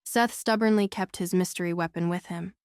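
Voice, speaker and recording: Smooth, clear woman audiobook narrator. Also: fairly low pitch, fairly even intonation, brisk, no room sound, studio quality. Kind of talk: scripted first-person novel narration.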